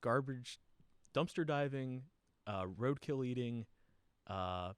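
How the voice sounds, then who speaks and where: A man hosting a casual podcast chat, easygoing and quick to laugh, with lots of fillers. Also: medium pitch, clean tone, no room sound. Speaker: podcast host.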